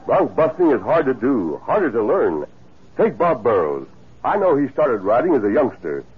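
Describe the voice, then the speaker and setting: An actor playing an earnest masked cowboy hero in a 1950s radio advertisement. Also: male voice, mid-range voice, big pitch swings, normal speed, slightly rough. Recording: tinny 1950s radio recording.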